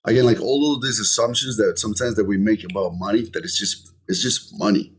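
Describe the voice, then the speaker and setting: A man's voice: on the low side, steady tone, brisk, husky. A middle-aged man with a Colombian accent, talking earnestly as an interview guest and often repeating words as he searches for phrasing. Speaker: podcast guest, entrepreneur. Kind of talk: conversational interview.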